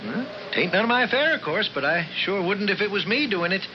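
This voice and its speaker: Folksy small-town american storekeeper, rural accent, kinda high voice, swingy, old muffled radio tape w/ hiss. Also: male voice, lively intonation, fairly quick. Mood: doubtful, cautioning. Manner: folksy, drawling backwoods phrasing. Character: folksy country grocer, cautious but obliging old-timer. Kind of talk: 1970s radio drama acting. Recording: dull, noisy 1970s radio recording.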